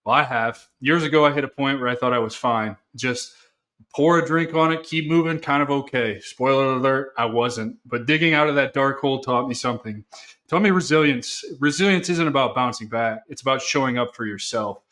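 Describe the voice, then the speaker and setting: A man's voice: medium pitch, fairly quick, bright, forceful tone. A man hosting a veteran podcast, giving a punchy, casual introduction with a salty edge. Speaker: podcast host.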